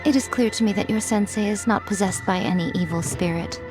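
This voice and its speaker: A woman's voice: medium pitch, fairly quick, music in the background, lightly acted. An actress playing a sly, knowing woman who lectures with teasing wit and amused laughter in a video game. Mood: wry.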